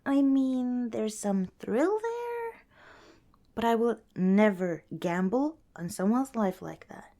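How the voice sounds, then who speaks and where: A woman playing a kind, cautious young scavenger in an ASMR roleplay, speaking softly and hesitantly, as if chatting to the listener. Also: on the high side, sing-song, slow, exaggerated voice. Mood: candid, relaxed. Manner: soft, hesitant, conversational, with sighs and small laughs.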